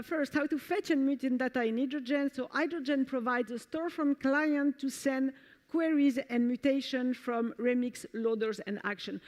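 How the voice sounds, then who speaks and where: A woman's voice: high voice, a little mellow. Woman, French accent, conference speaker, enthusiastic, many fillers, live demo on stage. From France. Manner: enthusiastic, frequent fillers and restarts. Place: conference stage before an audience.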